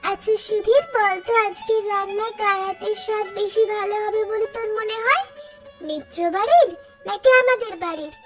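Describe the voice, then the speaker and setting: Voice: extremely high pitch, moderate pace, music in the background. An actor voicing a chatty, teasing young village girl in a cartoon story. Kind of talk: Bengali cartoon story dialogue.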